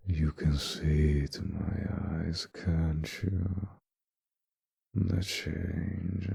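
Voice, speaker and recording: Ancient male vampire played by a man for roleplay audio: very low, heavy gravel, courtly seduction with predatory menace, unhurried. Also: warm tone, full character voice. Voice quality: deep, gravelly, breathy, dropping into whispers. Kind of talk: intimate roleplay audio drama.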